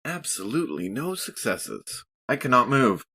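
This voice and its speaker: A man playing a tabletop role-playing game, casually and haltingly thinking his plans aloud. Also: medium pitch, sing-song, very noisy. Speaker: player in a tabletop RPG.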